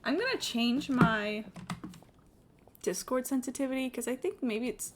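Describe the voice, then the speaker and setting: A young woman livestreaming a game, reacting in a casual, excitable way. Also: fairly high pitch, a little slow. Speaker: live streamer. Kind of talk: casual gaming livestream commentary. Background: music from the game.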